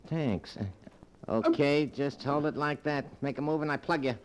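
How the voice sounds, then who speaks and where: An actor playing a slangy American street hoodlum in a 1940s radio crime drama. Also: male voice, a little high, fairly even intonation, normal speed, lightly acted. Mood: sly. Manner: slangy, tough-guy talk. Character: gruff, slangy street stick-up man. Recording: old 1940s radio recording.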